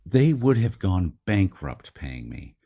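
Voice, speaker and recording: Gruff gun-for-hire with a code, male actor, audio drama: very deep, tough, plain-spoken. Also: big pitch swings, takes it slowly, warm tone, exaggerated voice. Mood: gruff, dismissive. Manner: blunt, colloquial, tough. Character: gruff, self-deprecating hired gun with a code.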